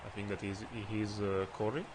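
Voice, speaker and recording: Man with an Italian accent, non-native English, low voice, halting game commentary full of fillers; faint hiss behind. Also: flat delivery. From Italy. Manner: hesitant, many fillers, searching for words. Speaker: streamer.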